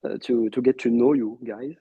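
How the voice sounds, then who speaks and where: A man from Belgium who first spoke Arabic, a guest in a casual interview, talking in a warm, reflective way with many restarts and fillers. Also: mid-range voice, fairly even intonation, normal speed, breathy edge, no echo, lo-fi sound.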